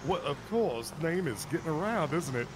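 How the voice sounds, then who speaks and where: A man's voice: a little high, lively intonation, moderate pace, background noise, close to an everyday voice. A man playing a flamboyant revivalist street preacher in an online role-play, theatrical and repetitive in his exhortations. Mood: sly, grandiose.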